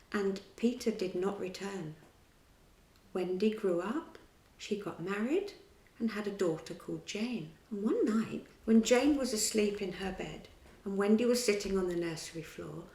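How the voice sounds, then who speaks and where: A woman reading a children's story aloud in a clear, steady storybook manner. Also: medium pitch, a little slow, echoey room, low-quality recording.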